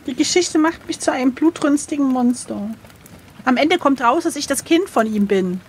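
A woman playing through an adventure gamebook, chatting casually and playfully with a comically dramatic, expressive manner. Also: high-pitched, normal speed, slight background noise. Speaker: co-host, player of an adventure gamebook.

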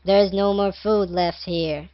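A man with an Egyptian accent voicing a hungry, timid, child-like cave creature, speaking slowly and flatly, recorded on a headset. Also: sits high, no room sound, muffled recording. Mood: plaintive.

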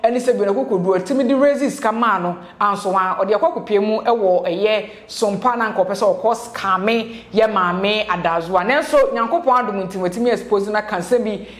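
A woman's voice: medium pitch, moderate pace, few pauses. A young woman with a Ghanaian accent, hosting and presenting gossip news commentary. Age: young adult. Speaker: gossip show host. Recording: roomy indoor echo.

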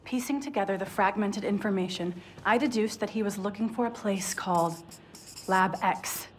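An actress playing a brisk, no-nonsense young woman, a resistance tech expert in a video game. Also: medium pitch, slight background noise, lightly acted. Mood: businesslike. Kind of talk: video game cutscene acting.

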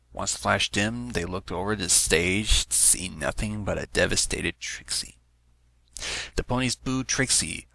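A man reads a story aloud in a low voice with a gravelly creak. His delivery is lively and moves around in pitch as he narrates. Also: dry recording, poor audio. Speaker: fan fiction narrator.

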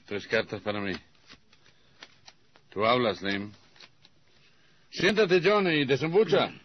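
An actor playing a mocking, greedy, menacing gangster boss in a radio play, with a drawling, taunting delivery. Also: male voice, medium pitch, very animated, slow. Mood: probing, mocking. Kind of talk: radio theatre acting. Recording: dull vintage radio recording.

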